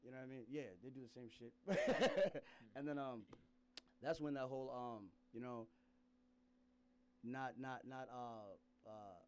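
A man's voice: medium pitch, unhurried, pauses often, darkish tone, clear, resonant tone. A man from South Sudan telling a rambling personal story in casual chat, laughing often and restarting his sentences.

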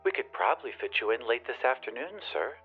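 A courteous government hostess, acted by a man, answers the telephone in an audio drama. She sounds very warm and formal, like a practised official. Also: medium pitch, very animated, fast talker, narrow, tinny audio, faint music behind, natural voice. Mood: warmly official.

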